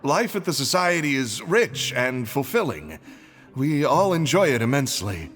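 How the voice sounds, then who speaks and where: A man's voice: medium pitch, sing-song, normal speed, a bit bright. An actor playing a keen, helpful male club member in a video game, politely and enthusiastically explaining. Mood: eager, proud.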